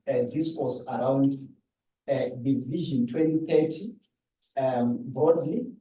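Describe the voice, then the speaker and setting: Halting man moderating, very echoey. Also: mid-range voice, mellow. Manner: halting, frequent long hesitations between short phrases. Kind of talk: moderating a formal discussion. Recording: echoey room, distant microphone.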